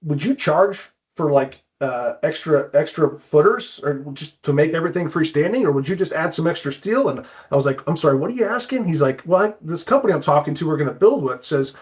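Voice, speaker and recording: A man giving a conversational expert explanation as an interview guest, informal and halting, often repeating words. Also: a little high, lively intonation. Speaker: guest climbing wall consultant. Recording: dull, lo-fi audio.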